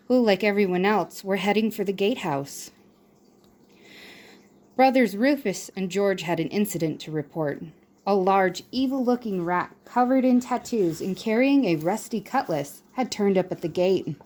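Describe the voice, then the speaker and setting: A woman's voice: mid-range voice, darkish tone. A woman reading a children's fantasy novel aloud as scripted narration. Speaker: audiobook narrator.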